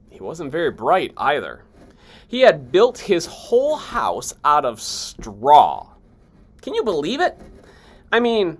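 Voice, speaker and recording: Someone doing a sly storybook wolf, wildly animated, unhurried. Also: medium pitch. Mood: self-justifying. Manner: folksy, mock-innocent, theatrical storytelling. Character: sly self-excusing wolf telling his side.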